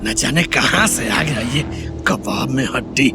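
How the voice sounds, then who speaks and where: A man voicing a thug's excitable, easily cowed sidekick in a Hindi audio story. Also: medium pitch, measured pace, over loud music. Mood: irritated.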